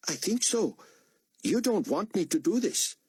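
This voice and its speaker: A man's voice: sits high, big pitch swings, measured pace, darkish tone, husky, no echo, clean studio sound, voice pushed up, full character voice. A man voicing a frightened young male prisoner who speaks with a Greek accent and pleads. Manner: timid, pleading.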